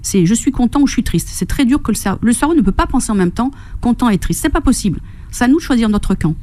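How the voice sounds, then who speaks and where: Middle-aged woman from Corsica, radio guest, mellow warm tone, bubbly and chatty. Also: a little high, fast talker. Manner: enthusiastic, laughs often, animated storytelling with quoted voices. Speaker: fitness and nutrition coach, guest. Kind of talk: radio interview. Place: radio studio.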